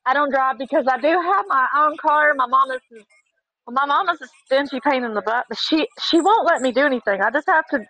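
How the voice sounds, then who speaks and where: A woman's voice: sits high, fairly quick, bright, forceful tone, no echo, poor audio. A woman in her thirties in a casual, flirty chat, teasing and full of pet names. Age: 30s.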